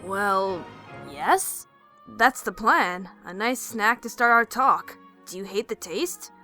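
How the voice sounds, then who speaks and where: A woman's voice: medium pitch, animated, slow pace, bright, forceful tone, big-room sound, soft background music. A woman voicing a brash, scheming, flirtatious pegasus adventurer in a fan fiction audio drama, with cocky, smug asides. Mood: friendly, coaxing.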